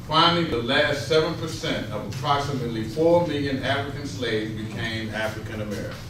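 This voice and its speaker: A man's voice: mid-range voice, brisk. A man reading formal historical narration aloud as part of a readers theater. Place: echoey room, live rehearsal. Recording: echoey, distant, noisy rehearsal recording.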